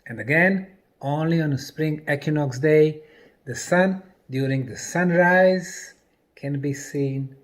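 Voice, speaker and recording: A man presenting in a lecture style, deliberately, in short separated phrases with emphasis on key words. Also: fairly high pitch. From Bosnia. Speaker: presenter and researcher.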